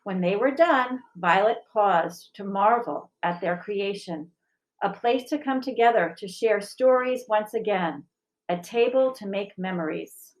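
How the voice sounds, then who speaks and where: A woman reading and chatting for a school audience in an expressive, measured storybook style. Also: mid-range voice, rough recording. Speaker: school staff member reading aloud. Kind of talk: children's storybook read-aloud and informal discussion.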